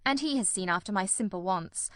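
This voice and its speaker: A woman's voice: mid-range voice, lively intonation, brisk, no echo, clean studio sound, close to an everyday voice. A woman voicing a keen, composed male detective in an audiobook, with a cold, incisive, precise and wryly ironical delivery. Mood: calm, reassuring. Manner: ironical, precise, politely teasing. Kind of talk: audiobook character dialogue.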